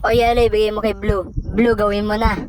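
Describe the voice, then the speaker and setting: An excitable, playful cartoon stick figure voiced by a woman with a Filipino accent. The delivery is exclamatory and slangy, full of chatter and squeals, in the style of an amateur animation dub. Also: mid-range voice, fairly quick, lightly acted. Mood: excited.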